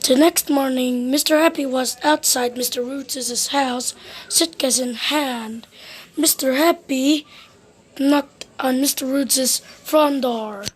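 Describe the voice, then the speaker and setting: A woman reading a children's storybook aloud as narrator, in an expressive, deliberate way. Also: high-pitched, slightly rough.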